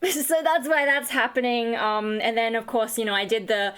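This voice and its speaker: A young woman with a high voice, rambling tiredly in a casual vlog chat, full of fillers and self-deprecating asides. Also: steady tone, moderate pace, a bit bright, clean tone. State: tired, running on fumes. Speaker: vlogger and singer.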